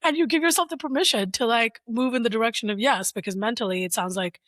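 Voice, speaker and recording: A woman's voice: on the high side, fast, a bit bright, dry recording, studio quality. A woman in her thirties co-hosting a podcast, chatting casually, playful and laughing, asking questions. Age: 30s. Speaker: podcast co-host. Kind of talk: casual chat.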